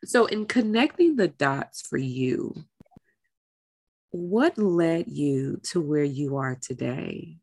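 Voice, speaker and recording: A woman with a low voice and a noticeable creak hosts a candid conversation. She talks in a familiar, affectionate, heartfelt way, and the sound is close and dry. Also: lively intonation, takes it slowly, darkish tone, dry recording. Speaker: interview show host.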